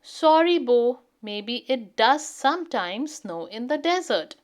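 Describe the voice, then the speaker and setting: A woman's voice: fairly high pitch, sing-song, slow pace. A woman voicing a stubborn, sceptical little boy detective in a children's storybook, emphatic and argumentative. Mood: grudging. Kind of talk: children's storybook character reading.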